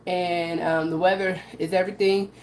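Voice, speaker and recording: A woman with a raspy voice from allergies, hosting a casual live cooking demo and chatting to viewers with frequent "okay?" asides. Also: fairly low pitch, little pitch movement, normal speed, darkish tone. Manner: chatty, repeats "okay?" to her audience. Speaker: host of a live cooking stream. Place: home kitchen. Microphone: camera she adjusts by hand. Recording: roomy echo, faint background noise.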